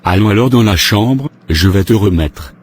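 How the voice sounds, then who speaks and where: An actor playing an impatient, insistent and sulky male lover in an animated soap drama. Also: on the low side, normal speed, breathy edge.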